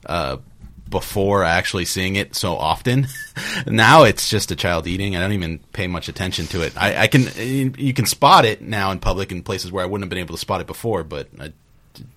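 Deep-voiced man in his thirties. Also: animated, fairly quick, fairly bright tone. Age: 30s. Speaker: guest. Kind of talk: casual panel discussion.

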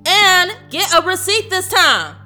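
Sharp, bossy facility staffer. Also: female voice, extremely high pitch, very animated, a little slow, faint music behind, full character voice. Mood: cold, dry. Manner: commanding, curt. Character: bossy, callous female staffer at a sinister facility. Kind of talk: audio drama acting.

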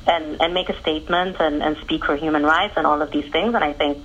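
A woman's voice: deep voice, steady tone, a bit bright, husky. A woman from Egypt, a tennis journalist answering interview questions in an earnest, fluent way. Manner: earnest, fluent, quick to explain. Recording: dull phone-line audio.

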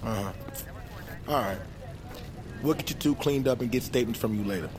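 An actor playing a stern, sceptical middle-aged police captain with a folksy manner in an audio drama. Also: male voice, mid-range voice, brisk, noisy background, lightly acted. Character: gruffly sceptical male police captain, older authority figure.

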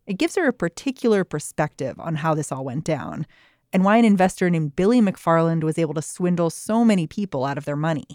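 A woman hosting a news podcast, narrating a scripted segment in a brisk, clear storytelling manner. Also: low-pitched, lively intonation, darkish tone, no echo, studio quality. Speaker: podcast host.

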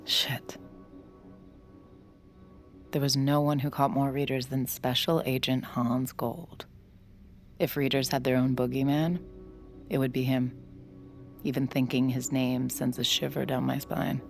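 A woman's voice: a bass voice, flat delivery, a little slow, vocal fry, long pauses, soft background music, heavily acted. An actress playing a wry, guarded young woman with a secret and an anxious streak, in audio drama dialogue and narration. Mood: concerned.

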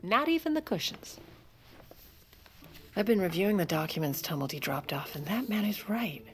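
A woman's voice: mid-range voice, lively intonation, moderate pace, close to an everyday voice. An actress playing a shrewd, sardonic First Lady who secretly steers the presidency in an audio drama. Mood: wry, worried. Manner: dry, sardonic, conspiratorial.

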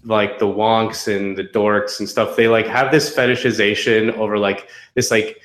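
A man in his thirties with a fairly low voice talks casually in a roomy, echoing space. He tells stories as a guest, haltingly, leaning on filler words and poking fun at himself. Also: little pitch movement, a bit bright. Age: 30s. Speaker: podcast guest. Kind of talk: casual chat, anecdotal. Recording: roomy echo.

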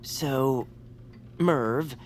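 A woman's voice: rumbling low, very slow, a little hiss or hum, full character voice. A woman playing a prim, long-suffering little homebody in a comedy audio drama, courteous and polite. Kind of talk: audio fiction comedy acting.

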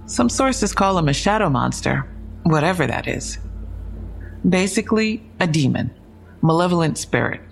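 An actress playing a frank, inquisitive police investigator in an audio drama, matter-of-fact and wry, with a husky, creaky voice. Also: female voice, fairly low pitch, big pitch swings, moderate pace, faint music behind. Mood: wry, intrigued. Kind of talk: full-cast audio drama dialogue.

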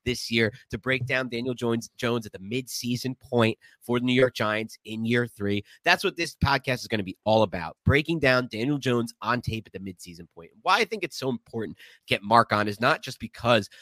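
A man's voice: medium pitch, fairly quick, fairly bright tone, dry recording. A man hosting a podcast with a naturally nasal voice, made stuffier by a head cold, talking in a chatty, upbeat way. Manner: chatty, upbeat, laughs mid-sentence. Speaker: podcast host. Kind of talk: casual podcast talk.

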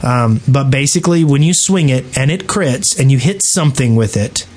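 A man chats casually as a gaming podcast co-host. His pitch sits in the middle for a male voice. He comes across as a bit grumbly and ready to argue his point. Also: expressive, normal speed, darkish tone. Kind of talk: casual chat about gaming.

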